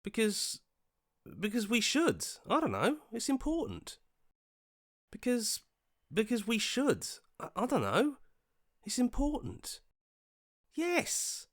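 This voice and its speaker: An anxious, earnest young hero from a video game, pleads and hesitates as he speaks. His pitch moves about a lot, sliding between worry and protest. The sound is dry and close, with no room on it. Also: wildly swooping pitch, takes it slowly, pauses often, no room sound, heavily acted. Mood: flustered.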